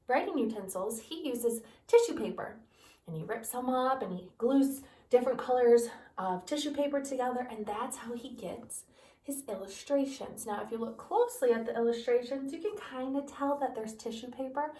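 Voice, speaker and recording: A woman who teaches kindergarten reads a picture book aloud, pausing to explain things gently to young children. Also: on the high side, distant mic. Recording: echoey room, voice off-mic.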